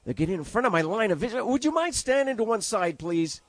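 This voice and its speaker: A man playing an excitable, courteous 1930s radio news reporter with formal announcer diction that gets flustered. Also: high-pitched, fast talker, no room sound, clean studio sound. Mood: excited, flustered. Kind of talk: 1930s radio drama acting.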